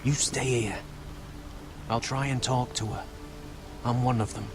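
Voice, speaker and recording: An actor playing a scrappy young wildling scout in a dramatic fantasy video game. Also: male voice, mid-range voice, measured pace, music in the background, lightly acted. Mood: urgent. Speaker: game character.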